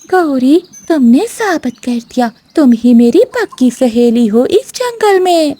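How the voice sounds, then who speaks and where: A woman's voice: sits high, animated, measured pace, mellow. A woman voicing a greedy, predatory female eagle in a cartoon, with a gloating manner. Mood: pleased.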